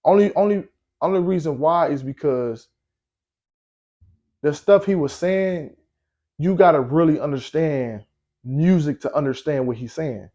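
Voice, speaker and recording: A young man from Milwaukee, a rapper guest in a casual interview, telling stories in a relaxed way with lots of slang and fillers. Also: measured pace, pauses often, muffled recording. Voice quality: deep voice. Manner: laid-back storytelling with slang and frequent fillers.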